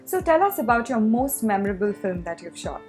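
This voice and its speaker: A woman interviewer asking quick upbeat questions and giving encouraging replies. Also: a little high, very animated, a little mellow, soft background music.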